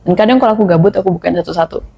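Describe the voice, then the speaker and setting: A young woman in a casual podcast chat as a guest who teaches her language, speaking thoughtfully and pausing to pick her words. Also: on the low side, creaky, mellow, muffled recording. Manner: thoughtful, measured, with frequent pauses and restarts.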